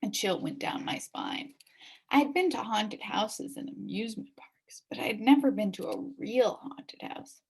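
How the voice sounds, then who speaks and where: A woman reading a children's story aloud in an expressive, dramatic way, dropping into hushed suspense at times. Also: mid-range voice, normal speed, gravelly, slightly rough. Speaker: story reader.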